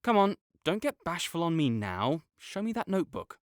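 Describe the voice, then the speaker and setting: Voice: medium pitch, very animated, normal speed, dry recording, studio quality, natural voice. A young adult performer voicing a sarcastic, condescending academic rival with a slight tsundere streak, teasing throughout. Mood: smug. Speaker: audio roleplay performer. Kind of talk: scripted audio roleplay acting.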